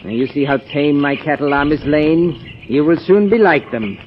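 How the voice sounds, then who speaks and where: An actor playing a cruel mad professor villain in old radio horror style, commanding and theatrical. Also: male voice, fairly high pitch, lively intonation, normal speed, close to an everyday voice. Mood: gloating menace. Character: cruel, gloating mad professor villain who breeds vampires. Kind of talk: 1940s radio horror acting. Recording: muffled, noisy old radio recording.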